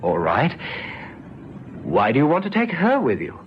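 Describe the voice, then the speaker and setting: An actor playing a gentle young policeman in a vintage radio drama, soothing, patient and a little hesitant. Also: mid-range voice, wildly swooping pitch, unhurried. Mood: gently persuading. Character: young policeman, calm, gentle, friendly negotiator. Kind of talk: 1970s radio drama acting. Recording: dull vintage radio recording with noise.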